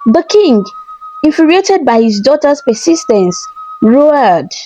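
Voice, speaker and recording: A woman's voice: high voice, very animated, slow pace, mellow, faint music behind, low-quality recording. A woman narrator reading a scripted folktale in a storybook style.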